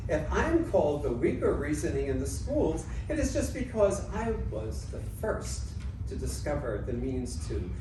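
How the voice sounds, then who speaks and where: Woman acting a cocky, mocking debater, animated. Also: lowish voice. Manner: theatrical, mocking. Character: cocky, scornful, quibbling debater personifying unjust argument. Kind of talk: dramatic reading of ancient Greek comedy. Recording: noisy, echoey room, distant mic.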